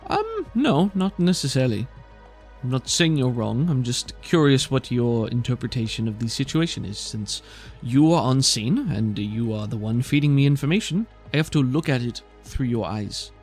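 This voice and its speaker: A man runs a tabletop game as game master, improvising the scene conversationally. His mid-pitched voice has a lot of fry, and soft music plays behind him. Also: big pitch swings, moderate pace.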